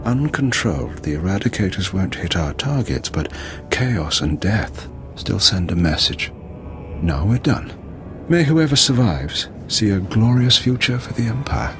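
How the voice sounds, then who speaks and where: An actor playing a grim rebel terrorist leader in a video game, speaking in a brooding, theatrical, measured way. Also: male voice, on the low side, very animated, music in the background. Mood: defiant, menacing.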